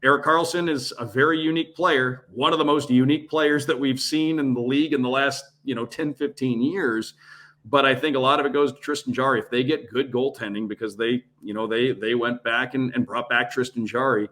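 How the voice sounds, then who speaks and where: A man's voice: mid-range voice, steady tone, fairly quick, fairly bright tone. A man who works as a radio play-by-play broadcaster, guesting on a casual sports podcast and talking through his analysis in long, flowing runs.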